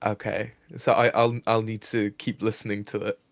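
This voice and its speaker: A man's voice: fairly low pitch, little pitch movement, normal speed, gravelly, no echo. A man co-hosting a live stream, answering in a hesitant, laid-back way with short replies. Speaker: co-host and editor. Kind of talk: casual chat.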